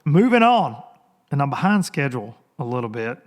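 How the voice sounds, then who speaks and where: A man hosting a casual podcast, hoarse and rambling, chatting loosely to his listeners into a microphone. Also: mid-range voice, big pitch swings, moderate pace. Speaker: podcast host. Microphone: studio microphone.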